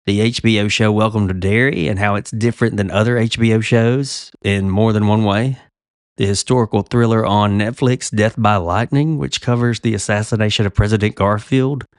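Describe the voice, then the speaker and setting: A man's voice: lowish voice, steady tone, moderate pace, no room sound, clean studio sound. A man hosting a casual TV podcast, talking easily with a slightly stuffy voice from a cold. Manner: conversational, slightly self-interrupting. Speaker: podcast host. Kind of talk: casual chat.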